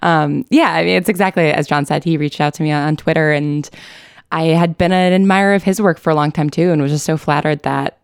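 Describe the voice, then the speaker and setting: Woman, fairly low pitch, dry close sound, casual interview talk, informal, chatty. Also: fairly even intonation, fairly quick, clear, resonant tone, no room sound. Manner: informal, chatty, laughs lightly while talking. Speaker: writer and co-producer being interviewed.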